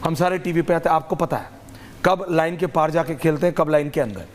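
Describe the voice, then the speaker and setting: Insistent, higher-pitched male debate host in heavy reverb. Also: brisk, a little hiss or hum. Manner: insistent, confrontational, repeats phrases emphatically; mixes English phrases into Hindi. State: strained sore throat. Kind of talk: heated TV debate moderation. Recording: echoing hall-like reverb.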